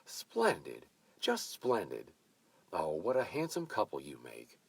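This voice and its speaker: A man's voice: on the low side, wildly swooping pitch, creaky, darkish tone, slightly rough, dry recording, pitched above the performer's own voice, heavily acted. A man putting on a gushing, bossy older hostess. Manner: brisk, commanding, gushing. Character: bossy, effusive mature woman hostess, gushing.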